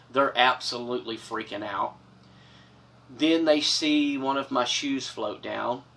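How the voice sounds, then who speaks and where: A man's voice: medium pitch, distant mic. A middle-aged man, telling a personal story to the camera in an earnest, dramatic way from a small wood-paneled room. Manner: earnest, dramatic, expressive with big gestures. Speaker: storyteller host. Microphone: camera from a few feet away.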